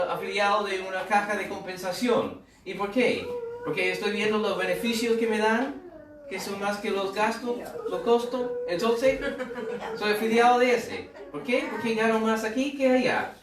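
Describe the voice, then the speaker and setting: A man's voice: high-pitched, fairly even intonation, normal speed, reverberant, recorded from a distance, noisy background. A man from the United States with an American accent, preaching a sermon in a joking, conversational way with rhetorical questions and asides. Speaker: pastor.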